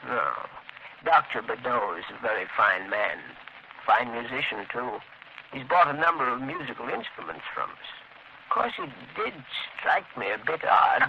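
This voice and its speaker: An actor in a 1930s radio drama playing an obliging music shop clerk, talkative, hesitant and deferential. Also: male voice, medium pitch, sing-song, normal speed, gravelly, pushed and bright, exaggerated voice. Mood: chatty, confiding. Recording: muffled 1930s radio recording, faint noise.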